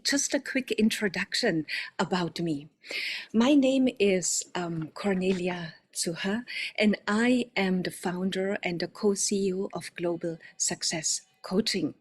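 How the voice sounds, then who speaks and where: A woman welcomes people to an online event over a video call microphone. Her pitch sits in a middle range. She speaks upbeat and friendly, with occasional fillers and restarts. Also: unhurried, darkish tone. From Austria. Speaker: coach and company founder hosting an online event. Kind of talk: welcoming presentation to an online audience.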